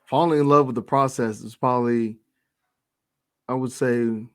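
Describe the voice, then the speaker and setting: A man's voice: mid-range voice, steady tone, normal speed, no room sound. Male interview host, mid-range voice, laid-back slangy delivery, very dry recording. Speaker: host and interviewer of a hip-hop show. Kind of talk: casual interview chat.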